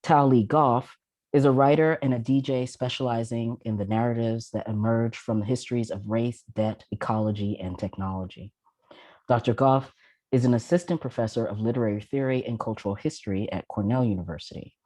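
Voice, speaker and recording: A man introduces a panel, reading each speaker's biography from prepared notes. His voice is mellow and unforced, and the recording is dry and close. He pauses now and then on a filler sound. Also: mid-range voice, steady tone, no echo. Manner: measured, reads from notes with some filler "uh"s. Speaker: event host introducing panelists.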